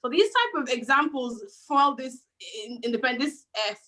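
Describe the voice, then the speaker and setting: A woman's voice: high-pitched, animated, moderate pace, bright, forceful tone, low-quality recording. A woman from Nigeria speaking as a panel guest, emphatic and repeating words for stress. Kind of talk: discussion.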